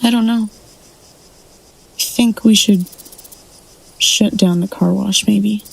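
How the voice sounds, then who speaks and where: An actress playing a grieving, defeated rancher in a post-apocalyptic audio drama. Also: female voice, mid-range voice, fairly even intonation, slow pace, vocal fry, husky, full character voice, poor audio. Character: Klaus, downcast, grieving car-wash rancher. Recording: live-streamed audio play with music bed.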